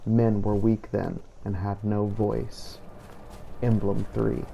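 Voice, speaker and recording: A man reading a scripted in-character journal of a fantasy game playthrough in a solemn, measured storytelling manner. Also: fairly low pitch, flat delivery, gravelly, warm tone, highs cut off, a little hiss or hum. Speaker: player narrating a role-play playthrough.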